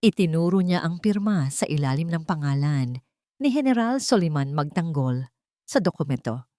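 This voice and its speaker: Low-voiced Filipino woman narrating a story. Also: lively intonation, normal speed, mellow, no echo, clean studio sound. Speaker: audiobook narrator. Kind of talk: dramatic scripted story narration.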